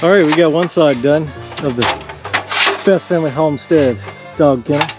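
A man's voice: fairly high pitch, normal speed, loud music behind the voice. A man in his forties bantering playfully while working on a homestead build outdoors. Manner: playful, teasing. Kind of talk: casual chat while working.

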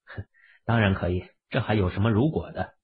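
A man's voice: lowish voice, brisk, slightly rough. A man voicing an understated, musing young husband, the laid-back hero of an urban fantasy audiobook. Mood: casual. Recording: muffled, phone-like, lo-fi audio.

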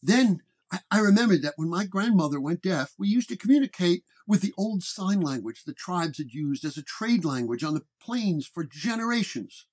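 A man's voice: high-pitched, lively intonation, normal speed, darkish tone. An actor playing a weary, wounded 1930s detective who dramatically narrates his case report. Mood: weary, reflective. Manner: dramatic first-person storytelling. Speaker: narrator-performer.

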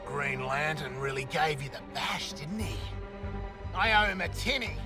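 Man playing a high-voiced, cocky Australian crook. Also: animated, normal speed, close to an everyday voice. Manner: boastful, bumbling. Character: cocky, scruffy Australian male crook, boastful loudmouth. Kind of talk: comic video game acting. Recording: game music behind.

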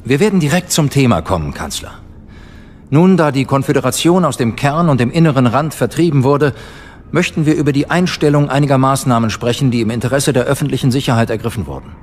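A man plays a senator in a radio drama, composed and formal. He sounds determined, in a middle-pitched voice with faint noise behind. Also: expressive, fast, lightly acted. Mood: composed, firm. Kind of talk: German radio drama acting.

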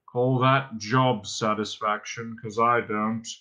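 Storybook robot, played by a male narrator: slow, droning, terminally bored and self-pitying, hard-edged deadpan. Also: medium pitch. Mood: bored resentment. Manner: droning, muttering, deadpan, sarcastic.

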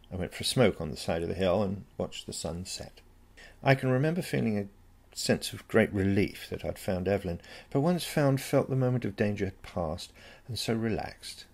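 A man's voice: low-pitched, vocal fry, darkish tone, slightly rough. A man reading a first-person story as scripted narration. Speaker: story reader.

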